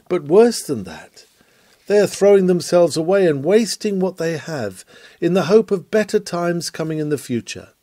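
A man reading a written sermon in an oratorical style, with dramatic emphasis and measured phrasing. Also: a little high, warm tone, dry recording. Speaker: sermon reader.

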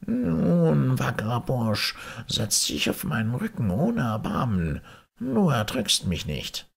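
A wise, helpful old frog from a storybook. The voice is husky and strained, pitched up from a deep natural register, with slow, croaking words. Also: male voice, wildly swooping pitch, exaggerated voice. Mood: instructing.

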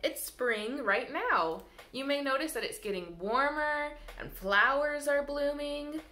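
A woman's voice: high voice, lively intonation, unhurried, sharp tone. A woman in her thirties reading a children's picture book aloud in a sing-song way, talking directly to young kids as a library storyteller. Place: small room indoors by a window, seated. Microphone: camera mic a short distance away. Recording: roomy echo from camera mic.

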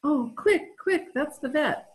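A woman's voice: sits high, lively intonation, slow pace, slight background noise. An older woman playing a gushing, fretful, stubborn wife in an old-time radio comedy, recorded at home over a video call. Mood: anxious. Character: doting, excitable, stubborn wife smitten with puppy.

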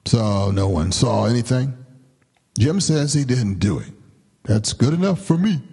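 A big, calm storybook gorilla, voiced by a man. He is level-headed and slow-spoken, gravelly, in a reverberant space. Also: mid-range voice. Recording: reverberant hall-like room echo.

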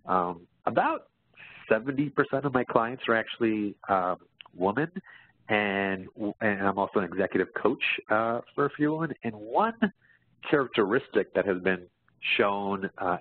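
A man presenting as a career coach, answering webinar questions in an explanatory way, often checking in as he goes. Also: sits low. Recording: muffled phone-like line in echoey room.

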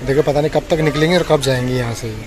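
A man's voice: mid-range voice, racing. A man chats casually to his vlog in a teasing, mock-complaining way. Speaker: family vlogger. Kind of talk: casual handheld vlog chat in Hindi. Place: busy indoor shopping mall. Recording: noisy crowded mall, background chatter.

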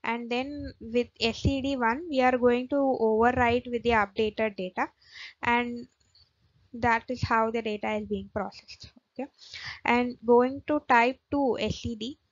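A woman's voice: on the high side, unhurried, no echo. A woman explaining data engineering interview questions over slides, often stopping on "okay" and "uh". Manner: steady explanatory talk with frequent "okay" and "uh" fillers. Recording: dull, dry lo-fi voice-over.

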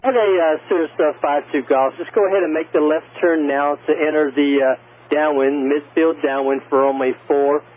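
A fairly high-voiced American man directs air traffic over the radio. He explains things in informal phrases, and the sound is very narrow and tinny. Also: steady tone, normal speed. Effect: radio transmission.

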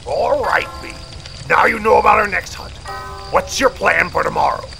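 A man as a chatty, croaky, high-voiced hunting companion. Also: moderate pace, bright, forceful tone, exaggerated voice, poor audio. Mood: keen, friendly. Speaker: voice actor. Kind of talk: amateur audio drama dialogue. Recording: music over the voice.

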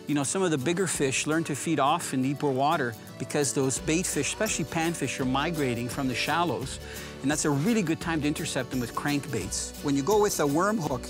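A man in his sixties hosting a fishing show, explaining technique from a kayak on a lake. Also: a little high. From Canada. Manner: relaxed and explanatory, easily excited by a catch. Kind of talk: casual outdoor fishing commentary.

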